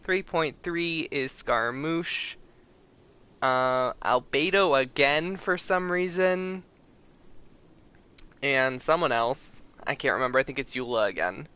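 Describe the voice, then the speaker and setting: A man's voice: medium pitch, slow pace, pushed and bright, smooth. A man hosting a casual game livestream, chatting informally with his viewers. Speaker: livestream host. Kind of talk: casual game stream chat.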